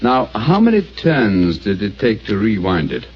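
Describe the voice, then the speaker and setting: An actor playing a calm, methodical master detective who talks in a measured, explanatory way, on an old radio drama. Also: male voice, fairly low pitch. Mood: explaining. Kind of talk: 1940s radio acting. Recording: dull old radio broadcast with faint hiss.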